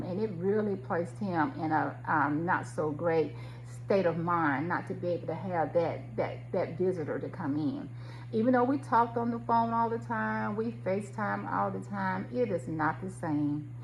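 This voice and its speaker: A middle-aged woman with a somewhat low voice shares a personal story as a vlogger. She is conversational and reflective, addressing listeners directly. A faint hum and noise sit behind her voice in the recording. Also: low-quality recording.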